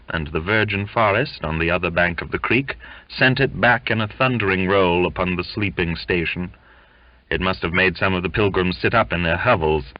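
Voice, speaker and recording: A man narrating a literary audiobook in a measured, expressive storytelling manner, in dull, muffled lo-fi audio. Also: sits low.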